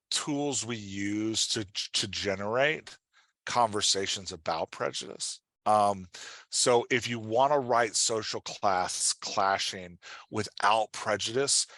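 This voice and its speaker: A man's voice: fairly low pitch, fairly bright tone, no room sound. A man working as a writing coach, answering questions in an informal, emphatic, conversational way with casual examples.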